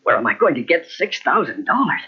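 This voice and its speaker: Jittery weak-willed gent, swoopy husky voice, flustered, old radio drama, muffled tape. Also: male voice, a little high, very animated, brisk, full character voice. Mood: desperate. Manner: flustered, exclamatory, talking to himself. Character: anxious, fretful gentleman speculator, weak-willed nephew. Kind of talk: old-time radio mystery acting. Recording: dull lo-fi old radio cassette recording.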